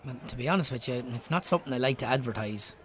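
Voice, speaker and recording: An actor with an Irish accent playing a teasing but cautious pub landlord in a radio drama. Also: male voice, medium pitch, expressive, very fast, lightly acted. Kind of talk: naturalistic radio drama acting.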